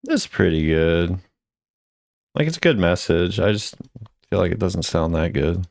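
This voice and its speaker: A man's voice: low-pitched, animated, measured pace, creaky, darkish tone, no echo, clean studio sound. A man giving offhand, casual commentary as a video creator, musing conversationally as he rates things. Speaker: video creator ranking game voice lines.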